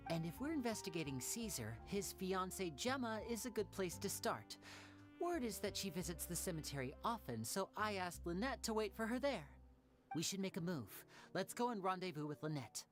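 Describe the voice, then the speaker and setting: A man voicing a charming, playful young stage magician in a game, smooth and theatrical. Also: sits high, big pitch swings, moderate pace, faint music behind. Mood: suave. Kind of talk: game cutscene voice acting.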